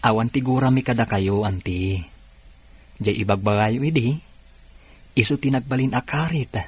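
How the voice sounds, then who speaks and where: An actor playing a humble, forgiving and hardworking nephew in an Ilocano radio drama, with a husky voice. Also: male voice, mid-range voice, measured pace, long pauses, dry recording. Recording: music under the dialogue. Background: background music.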